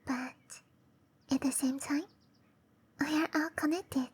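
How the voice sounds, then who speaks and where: A woman voicing a shy, gentle young cherry blossom dryad in a breathy, hesitant whisper for roleplay ASMR. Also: high voice, fairly even intonation, slow. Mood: wistful, tender. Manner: hesitant, bashful, softly spoken. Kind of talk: roleplay ASMR, intimate and slow.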